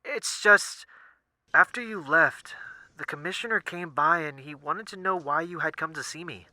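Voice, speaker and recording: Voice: mid-range voice, moderate pace, bright, forceful tone, no echo. A performer playing a nervous, polite lab technician on a phone call in an audio drama, hesitant and apologetic. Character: nervous, eager, deferential young lab technician.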